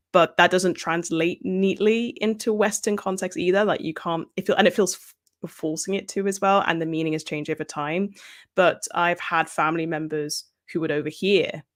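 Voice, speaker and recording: A woman moderating a panel casually, in an informal and encouraging manner. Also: fairly low pitch. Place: video call.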